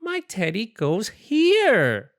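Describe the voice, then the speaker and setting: A storybook reader voicing a worried, exasperated little rabbit, protesting with expressive emphasis. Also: medium pitch, very slow, pitched above the performer's own voice, exaggerated voice.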